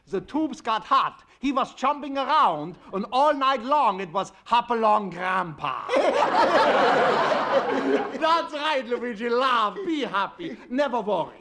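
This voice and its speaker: A man's voice: extremely high pitch, sing-song, measured pace, crowd noise, exaggerated voice. An actor playing a jovial immigrant man who laughs at his own jokes, in a vintage radio sitcom. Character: jolly joking German immigrant man, friend. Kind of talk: 1940s radio sitcom acting.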